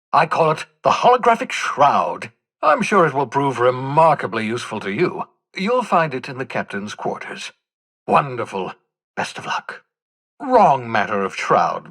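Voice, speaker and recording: An actor playing an eccentric, vain older mad scientist in a video game, with a husky voice and a theatrical, erudite, rambling manner. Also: male voice, medium pitch, a bit bright. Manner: theatrical, erudite, rambling, swinging from mutters to exclamations. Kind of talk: video game character dialogue.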